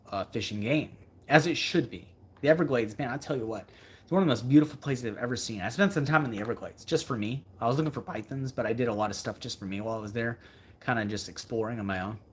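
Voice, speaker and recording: A male fishing creator talks casually to his livestream viewers in a husky, unpolished voice at a middle pitch. He rambles as he thinks things through out loud, and he often repeats a phrase to drive it home. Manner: rambling, thinking aloud, emphatic repetition. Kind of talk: casual livestream chat answering viewer comments.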